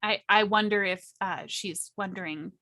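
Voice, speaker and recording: A woman's voice: mid-range voice, moderate pace, bright, forceful tone, dry recording. A woman speaking casually as a player in a tabletop game.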